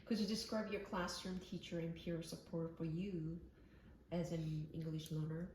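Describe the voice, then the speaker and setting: A woman's voice: low voice, fairly even intonation, moderate pace, noisy background. A woman interviewer who asks her questions politely and somewhat hesitantly, with fillers. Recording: roomy echo.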